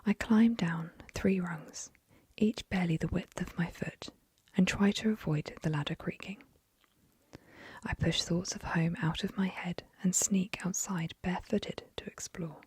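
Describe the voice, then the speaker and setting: Soft whispery lady reading a bedtime story, super breathy, dry close mic. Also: lowish voice, no room sound. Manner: whispering softly throughout. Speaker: ASMR storyteller. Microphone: close ASMR microphone.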